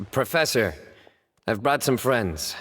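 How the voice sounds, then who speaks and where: An actor playing a calm, serious young investigator in a video game, speaking in a measured, deadpan, deliberate way. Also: male voice, mid-range voice, slightly rough, long reverb tail, heavily acted. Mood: calm, grave. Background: game music.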